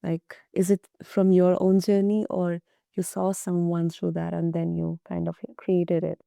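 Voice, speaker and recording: A woman host asks her guest long, halting questions full of restarts. Her voice is low and gravelly with a very dark tone. The recording is dry, with no room sound. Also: monotone, no room sound. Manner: hesitant, many fillers and restarts, warm praise. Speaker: podcast host. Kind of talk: interview questions.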